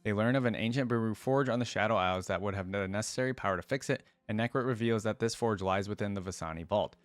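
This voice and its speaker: Man, reaction host, rapid pace, lowish pitch, casual commentary, reading aloud. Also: dry recording. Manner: casual, interjects brief reactions while reading.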